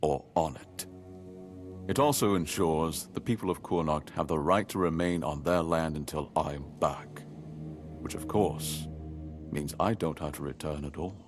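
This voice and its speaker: An actor playing a solemn, duty-bound noble lord in a game, speaking in a measured, stately way. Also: male voice, a bass voice, over music. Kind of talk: voiced game dialogue.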